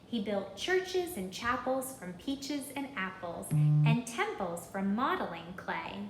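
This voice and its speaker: A woman reading a children's story aloud in an expressive, deliberate, rhythmic way, pausing to put questions to listeners. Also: medium pitch, roomy sound, background noise. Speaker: storybook reader.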